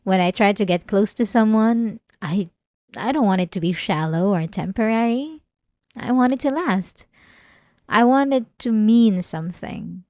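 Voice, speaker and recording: A woman playing an obsessive, manipulative therapy patient in an ASMR roleplay, outwardly calm and vulnerable, speaking haltingly. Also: medium pitch, dry recording, studio quality, natural voice. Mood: vulnerable, earnest. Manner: hesitant, halting, with sighs and nervous soft laughs. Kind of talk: intimate ASMR roleplay monologue.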